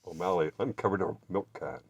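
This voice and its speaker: A man reading a children's storybook aloud with expressive, deliberate narration. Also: low-pitched, a little hiss or hum. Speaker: children's bookstore reader.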